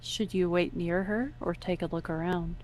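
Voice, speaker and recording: A woman's voice: lowish voice, steady tone, normal speed, darkish tone, slight background noise, poor audio. A woman reading scripted second-person story narration as the narrator. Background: music under the voice.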